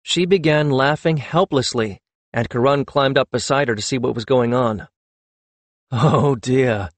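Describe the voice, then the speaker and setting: A man's voice: medium pitch, moderate pace, no room sound. A man reading a fantasy novel aloud as an audiobook narrator.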